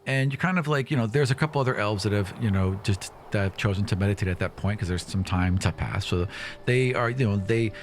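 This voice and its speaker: A man improvising narration as game master of a tabletop game, casual and halting, often repeating words. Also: lowish voice, slight background noise.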